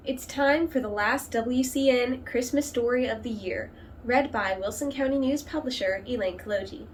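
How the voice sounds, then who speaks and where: A woman's voice: on the high side, normal speed, far from the mic, slight background noise. A woman hosting a local news show, presenting and asking questions in a scripted style.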